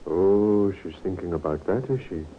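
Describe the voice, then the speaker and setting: An actor playing a reassuring, matter-of-fact doctor with a measured professional manner in an old radio drama. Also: male voice, low-pitched, close to an everyday voice. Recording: tinny, lightly noisy old radio recording.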